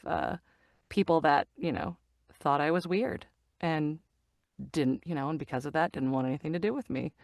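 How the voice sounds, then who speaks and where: A woman's voice: low-pitched, clear, resonant tone, no echo, clean studio sound. A woman in her forties, an actor and narrator, chatting openly in an interview and laughing often. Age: 40s. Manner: laughs often, animated and open. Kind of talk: casual interview chat.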